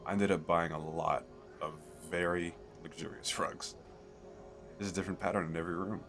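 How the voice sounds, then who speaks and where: A man playing an eager, chatty fantasy merchant in an audio roleplay, talking the listener through a sales pitch in a hesitant, rambling, persuasive way. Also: steady tone, normal speed, music in the background. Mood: proud, enthusiastic. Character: eager, chatty male fantasy merchant selling magical crystal castles.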